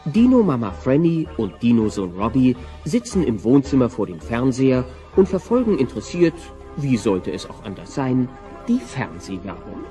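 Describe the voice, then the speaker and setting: A man's voice: medium pitch, big pitch swings, normal speed, mellow, faint music behind, natural voice. A man narrating a German cartoon audio play as a scripted storyteller.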